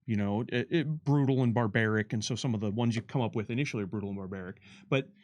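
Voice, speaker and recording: A man's voice: on the low side, fast talker, a little mellow. A man giving casual, unscripted commentary as a game developer, often starting a sentence over as he explains things.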